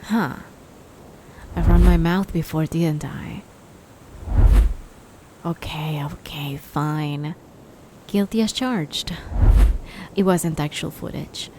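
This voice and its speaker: A woman playing a playful, smug, menacing vampire interviewer in an ASMR roleplay, teasing and drawling, often slipping into breathy whispers. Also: sits low, takes it slowly, pauses often, background noise. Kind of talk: ASMR roleplay, scripted monologue.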